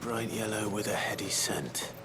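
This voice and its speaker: An actor playing a calm, understated male leader with dry humour in a fantasy video game. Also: fairly low pitch, moderate pace, loud music behind the voice, lightly acted. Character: Cid, low-voiced, calm, dry leader of a hideaway, man.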